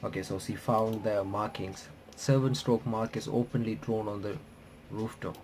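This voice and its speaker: A man doing a casual visual novel playthrough, reading the on-screen text aloud in a halting, stumbling way and reacting as he goes. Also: fairly low pitch, fairly even intonation, a little mellow, slight background noise. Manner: halting, stumbling reader who rereads phrases.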